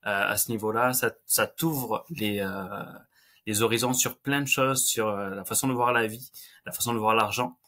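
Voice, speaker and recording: A man's voice: on the low side, fairly even intonation, moderate pace, pushed and bright. A man from France, the guest on a podcast over a video call, talking with many hesitations and some self-mocking humor. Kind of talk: conversational interview.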